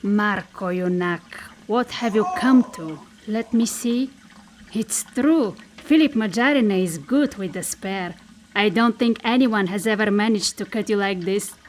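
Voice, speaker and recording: A woman's voice: medium pitch, unhurried, a little hiss or hum, natural voice. Woman playing a taunting, dangerous forest spirit woman. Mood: incredulous. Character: bold, teasing, dangerous female samodiva forest spirit. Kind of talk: audio drama dialogue.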